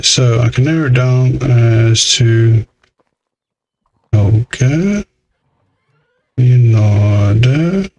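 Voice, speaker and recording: A man's voice: mid-range voice, a little hiss or hum, low-quality recording. A man giving casual let's play commentary in a grumbling, exasperated, mildly sweary way. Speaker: gaming video host.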